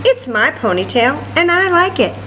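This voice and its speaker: A woman's voice: sits high, sing-song, normal speed, bright, forceful tone, pitched above the performer's own voice, full character voice, low-quality recording. A woman plays a headstrong little storybook schoolgirl, sure of herself and defiant. The voice is raised and emphatic, stretching words out, with faint noise behind. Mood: proud, defiant. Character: stubborn, self-assured little schoolgirl in a storybook.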